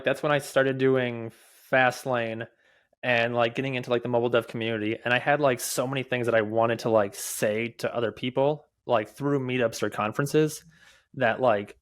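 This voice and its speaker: Young man who stutters, halting speech. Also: medium pitch, a bit bright. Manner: stutters, with repeated words, halting restarts and many fillers. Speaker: podcast guest, mobile developer and conference speaker. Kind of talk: candid conversational interview answers.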